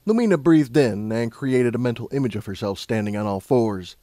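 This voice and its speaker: A man narrating a scripted story as an audiobook narrator, in a measured, dramatic storytelling manner. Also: medium pitch, lively intonation, warm tone, no room sound.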